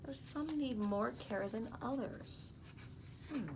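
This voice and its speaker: A woman in her fifties reads a picture book aloud to children, expressively and unhurriedly, with soft explaining asides. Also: a little high, slow. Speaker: school storytime reader. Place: small office, indoors. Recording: clearly noisy small-room recording.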